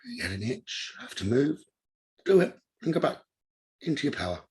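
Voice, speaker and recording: A man leading a guided meditation as a teacher, with a husky voice, speaking in calm, instructive short phrases with pauses. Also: on the high side.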